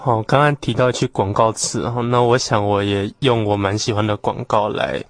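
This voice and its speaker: A man's voice: fairly low pitch, fairly even intonation, a little fast, dry recording. A man speaking casually as a guest on a radio programme. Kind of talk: casual studio talk.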